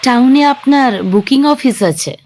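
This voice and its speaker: A woman's voice: high voice, normal speed, darkish tone, slight background noise, lightly acted. A woman acting as a young woman stranded on an island with her fiancé, in an audio drama. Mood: worried. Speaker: Jina.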